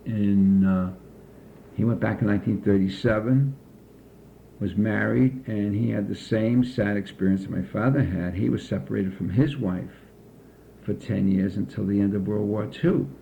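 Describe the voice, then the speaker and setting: Older man, deliberate pauses, faint hiss behind, narrow phone-like sound. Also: on the low side, creaky, warm tone. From United States. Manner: slow, measured recollection with frequent pauses. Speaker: interviewee. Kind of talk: oral history interview.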